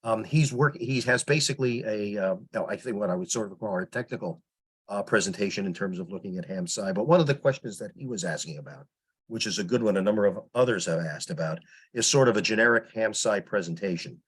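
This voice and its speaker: A man giving an informal report on a teleconference as a public relations volunteer, explaining things in a halting, meandering way. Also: fairly low pitch, a bit bright, slightly rough, low-quality recording. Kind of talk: informal spoken report in online meeting. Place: teleconference call.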